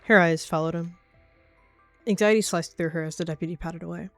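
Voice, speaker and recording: A woman's voice: fairly low pitch, fairly even intonation, a little fast, no room sound, soft background music. A woman narrating an animal fantasy roleplay as a storyteller.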